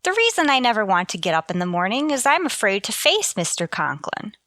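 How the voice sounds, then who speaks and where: A woman acts a wry, self-deprecating female teacher in a radio sitcom. Her voice is bright and crisp-edged, swinging widely in pitch as she delivers dry wisecracks. The sound is dry, free of room echo. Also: big pitch swings, fairly quick. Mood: dry, resigned. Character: wry, unlucky, sardonic female high school teacher. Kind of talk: scripted radio sitcom acting with first-person narration.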